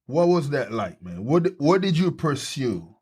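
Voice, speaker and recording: A man hosting a podcast in a studio, talking casually and energetically, often repeating quick words of agreement. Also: fairly high pitch, sing-song, a little mellow, breathy edge. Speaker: podcast host. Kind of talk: casual chat.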